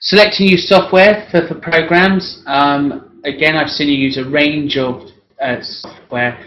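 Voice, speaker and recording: Male work-based assessor, hoarse and frequently clearing his throat, with a halting, conversational delivery. Dull, lo-fi recording. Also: fairly high pitch, steady tone, a bit bright. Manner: halting, hesitant delivery with tag questions. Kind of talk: one-to-one assessment planning talk.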